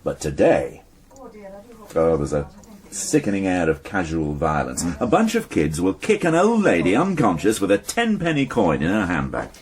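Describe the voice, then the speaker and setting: An actor playing an irritable, world-weary police inspector with a brusque, clipped, grumbling manner in a British radio drama. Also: male voice, low-pitched, huge pitch swings, moderate pace. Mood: grim.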